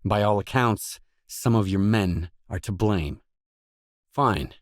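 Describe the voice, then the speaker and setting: An actor voicing a gruff, guarded stalker mercenary in a video game, blunt, terse and no-nonsense. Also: male voice, on the low side, takes it slowly, dry recording, clean studio sound. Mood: guarded, matter-of-fact. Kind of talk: video game dialogue lines.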